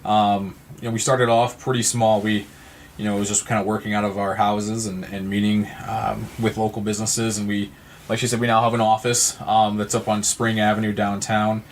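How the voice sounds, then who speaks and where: A man's voice: lowish voice, level tone, brisk, slight background noise. An American man giving conversational answers as an interview guest on a podcast. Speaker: podcast guest, nonprofit founder.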